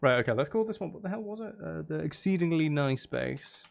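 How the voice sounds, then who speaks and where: A man's voice: on the high side, expressive, brisk, creaky, dry recording. A man streaming casually, thinking aloud and repeating phrases to himself as he works. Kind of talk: casual live-stream commentary while making music. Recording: loud music behind the voice. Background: music being composed, guitar and instruments.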